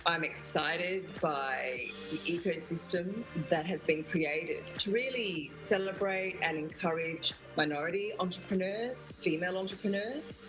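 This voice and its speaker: A woman's voice: fairly low pitch, unhurried, hardly any pauses, poor audio. A woman giving a promotional testimonial. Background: music.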